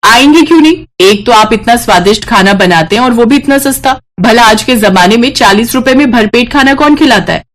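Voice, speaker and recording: A woman's voice: on the high side, expressive, fairly quick, few pauses, close to an everyday voice. A woman voicing a teasing, supportive village wife in storybook dialogue. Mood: pleased.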